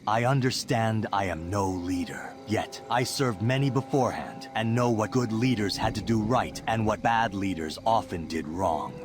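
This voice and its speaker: A man's voice: on the low side, moderate pace, few pauses, music in the background, close to an everyday voice. A man playing a scheming, bitter human chamberlain in a fantasy game, formal and self-righteous. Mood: bitter resolve. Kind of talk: fantasy game cutscene monologue acting.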